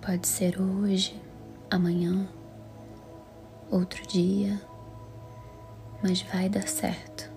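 A Brazilian Portuguese woman leads a guided meditation in a calm, soothing, measured way, her voice soft, breathy and half-whispered. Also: mid-range voice. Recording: music under the voice. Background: music.

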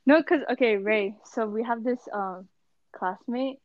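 A woman's voice: on the high side, measured pace, no echo, highs cut off. A young woman co-hosting a casual podcast, rambling through a story with lots of "like" and acting out other people's lines. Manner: rambling, full of "like", acts out voices in anecdotes. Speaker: podcast co-host.

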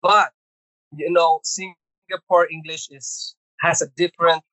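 A man's voice: on the high side, level tone, measured pace, sharp tone, dry recording, low-quality recording. A middle-aged Filipino man with a Filipino accent, a podcast guest talking casually and hesitantly, with many fillers, restarts and easy laughs. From Philippines. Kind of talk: casual conversational interview.

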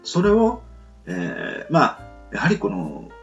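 Male podcast host, reflective and polite, creaky voice with lively intonation, rambling through a casual monologue. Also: mid-range voice, slow pace. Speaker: solo podcast and radio show host. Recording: muffled lo-fi, soft guitar music behind. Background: plucked guitar music.

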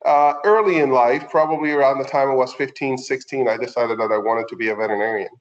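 A middle-aged man with a Puerto Rican accent and Spanish as his first language speaks in a conversational interview style. His pitch is average and his phrasing is halting. The recording is a little dull and lo-fi. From Puerto Rico. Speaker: veterinarian, podcast guest.

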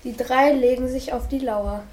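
A woman's voice: sits high, steady tone, normal speed, a little mellow. A female narrator reading the scripted linking text of an amateur radio play.

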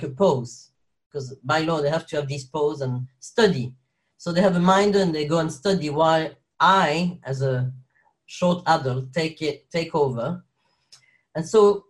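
A young man from Belgium speaks English with a soft French touch. He talks in a loose, rambling way, restarting sentences and laughing often as he tells his story. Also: on the high side. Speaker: guest actor. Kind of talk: casual interview chat.

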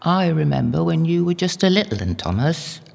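An actress playing a devout, motherly older holy woman in an audio drama, gentle, knowing and unhurried. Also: sits low, sing-song, creaky, big-room sound, full character voice. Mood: tender, preaching.

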